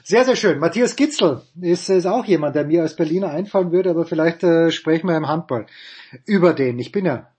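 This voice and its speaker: A man's voice: fairly high pitch, lively intonation, a little mellow, breathy edge. A man with an Austrian accent hosting a casual sports talk show, joking and teasing his panel. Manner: jokey, teasing, quick moderator. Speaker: host.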